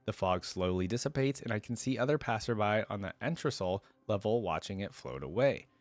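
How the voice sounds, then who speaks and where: A man's voice: fairly low pitch, lively intonation, a little fast, no echo. A man reading a story aloud as a reaction host, with informal, slangy asides, recorded close on a microphone.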